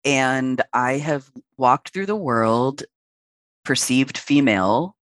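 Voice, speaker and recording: A man's voice: medium pitch, lively intonation, slow pace, a bit bright, dry recording. Frank, reflective man in his late forties. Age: late 40s. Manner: reflective and frank, talks at length with fillers. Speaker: podcast guest. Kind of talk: candid interview conversation.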